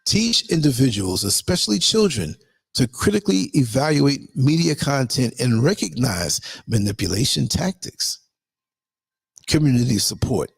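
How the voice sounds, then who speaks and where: A hoarse man hosting a livestream, reading a list of tips and then rambling casually to his viewers. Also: mid-range voice, big pitch swings, normal speed. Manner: rambling, chatty, addresses viewers as y'all. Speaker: livestream host.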